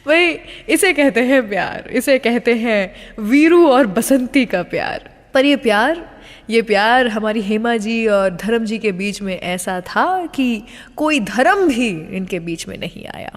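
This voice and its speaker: A woman's voice: fairly high pitch, lively intonation, slow pace, big-room sound. An Indian woman presenting a radio show in a chatty, storytelling way, dropping English phrases into her Hindi.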